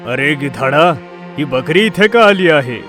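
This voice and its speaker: A man's voice: on the high side, lively intonation, a little slow, a bit bright, soft background music. A man voicing a gloomy outcast male lion in an animal story, brooding and sorrowful.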